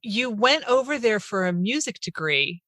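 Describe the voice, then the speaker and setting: A woman's voice: a little high, lively intonation, moderate pace, fairly bright tone, no echo, clean studio sound. A woman hosting a podcast, chatting casually with a guest. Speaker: podcast host. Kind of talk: casual interview conversation.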